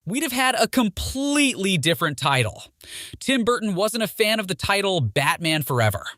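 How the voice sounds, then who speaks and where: A man's voice: high-pitched, sing-song, moderate pace, pushed and bright, dry recording, studio quality. A man reading a scripted film trivia narration in a presenter's manner, posing questions to the listener. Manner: presenter-like, poses rhetorical questions. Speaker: video narrator.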